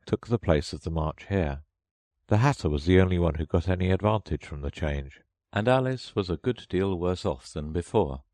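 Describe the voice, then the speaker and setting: A man narrating a children's audiobook in a gentle, expressive storybook read. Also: sits low, dry recording.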